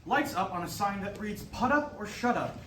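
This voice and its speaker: Lively high-voiced man reading stage directions. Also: moderate pace. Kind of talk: scripted narration at a staged reading. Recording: echoey room, far mic, faint noise.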